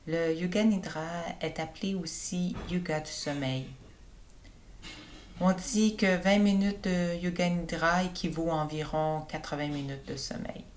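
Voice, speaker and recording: Low-pitched woman guiding a sleep meditation. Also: a little hiss or hum. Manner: slow, calm, soothing instruction. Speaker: yoga teacher leading relaxation. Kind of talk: guided meditation narration for sleep.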